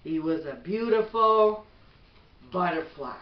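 A middle-aged woman reads a bedtime storybook at an unhurried pace. She is some distance from the camera mic in an echoey room. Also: fairly low pitch, expressive, highs cut off. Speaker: mother reading a bedtime story. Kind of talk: slow storybook read-aloud. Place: small bedroom at home, indoors. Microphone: camera a few feet away.

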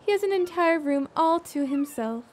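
A woman's voice: very high voice, expressive, moderate pace, a little hiss or hum. A woman playing a smug, sly genie in an audio drama, poised, formal and teasing. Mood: serene, teasing. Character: smug, sly female genie, calm and worldly. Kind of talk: audio theater acting.

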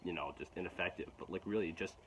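A teenage boy rambling to his phone camera in a garden, frustrated and halting, with lots of restarts and fillers. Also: low voice, a little hiss or hum. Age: teens. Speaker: vlogger. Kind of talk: casual rambling vlog talk. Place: outdoors in a garden. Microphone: phone camera at arm's length.

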